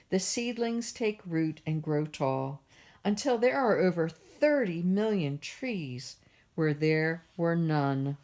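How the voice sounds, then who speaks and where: A woman reading a children's picture book aloud as a library storyteller, in a measured, expressive way. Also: fairly low pitch.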